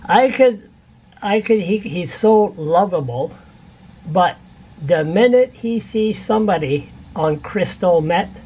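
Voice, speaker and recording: A man's voice: sits high, darkish tone, dull audio, a little hiss or hum. An older man chatting casually as a radio phone-in caller, rambling and repeating words for emphasis. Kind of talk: casual chat. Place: indoor room at home.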